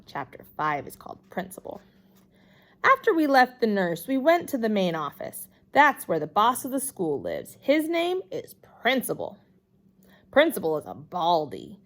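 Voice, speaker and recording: Animated woman reading a children's storybook. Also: mid-range voice, normal speed. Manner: animated, acts out the dialogue. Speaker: storybook reader. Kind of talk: expressive read-aloud of a children's chapter book.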